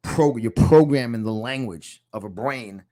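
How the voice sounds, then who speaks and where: A man's voice: medium pitch, unhurried, warm tone. Emphatic man in his fifties with a New York accent. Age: 50s. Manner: emphatic, repeats phrases for effect. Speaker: success coach. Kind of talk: motivational lesson to camera. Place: small home studio in a condo.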